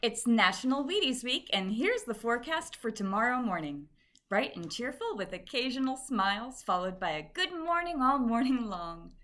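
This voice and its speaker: A woman playing a peppy 1950s radio cereal pitchwoman, cheery and folksy in her salesmanship. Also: big pitch swings, moderate pace, fairly bright tone, a little hiss or hum. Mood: cheery, selling.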